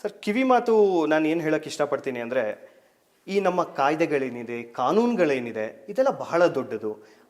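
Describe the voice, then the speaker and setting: A man's voice: fairly high pitch, big pitch swings, normal speed. A man with an Indian accent from Karnataka, giving emphatic explanations as an expert guest and mixing in English terms. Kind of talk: explanatory interview answers.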